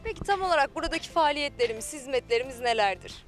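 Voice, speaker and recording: Woman, young adult, TV reporter, high voice, quick, fluent presenter phrasing, outdoor beach interview, handheld mic. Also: animated, faint music behind. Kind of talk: on-camera interview. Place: outdoors by a beach under trees.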